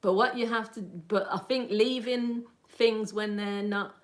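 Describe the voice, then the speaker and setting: A woman's voice: mid-range voice, fairly even intonation, unhurried. A woman hosting a casual livestream, chatting at length and answering viewer comments with frequent laughter. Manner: chatty and rambling, laughs often. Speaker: livestream host.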